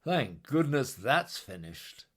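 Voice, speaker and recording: A man's voice: mid-range voice, big pitch swings, takes it slowly, voice pushed up. A man voicing a proud, demanding old male kangaroo in a storybook, with insistent, exclamatory delivery. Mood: weary, aggrieved. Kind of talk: storybook character voice.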